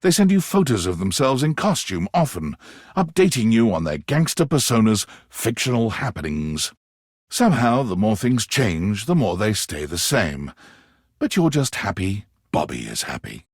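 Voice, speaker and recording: A man's voice: fairly low pitch, big pitch swings, normal speed, breathy edge, no echo, studio quality. A man playing an arch, dramatic narrator of a video game, reading scripted narration. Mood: wry.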